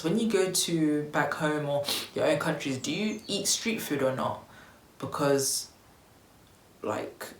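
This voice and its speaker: A young woman from Tanzania talks upbeat and chatty to her vlog camera, her voice low for a woman. She keeps it loose with fillers. The room is echoey, with a faint hiss behind her. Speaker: vlogger. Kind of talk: casual vlog chat to camera.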